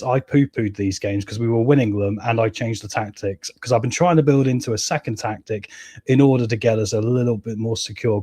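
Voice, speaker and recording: A man with a cold and a hoarse voice, chatting casually to viewers as he plays a football management game and reads out live chat. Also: fairly low pitch, warm tone, dry recording. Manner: chatty, keeps addressing viewers as guys and mate. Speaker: gaming channel host.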